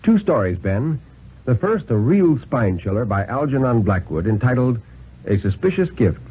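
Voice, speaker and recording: A man narrating thriller stories in a measured, theatrical 1950s radio reading style. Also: medium pitch, big pitch swings, slightly rough. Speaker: story narrator. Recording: muffled 1950s radio recording with hiss.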